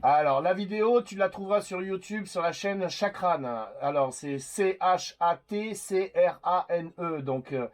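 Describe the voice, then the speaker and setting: A man's voice: high voice, measured pace, few pauses. A man chatting casually as a CB radio operator, hesitant and rambling as he goes. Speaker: CB radio operator making videos.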